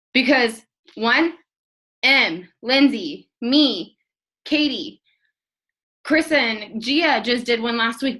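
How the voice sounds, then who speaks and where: A woman in her thirties talks through a team training, with a bright, forceful tone and a fairly high pitch. She rambles a little, leans on "like" and restarts, and keeps her words earnest and encouraging. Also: rough recording. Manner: chatty, filler-heavy, rambling. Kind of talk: casual training talk.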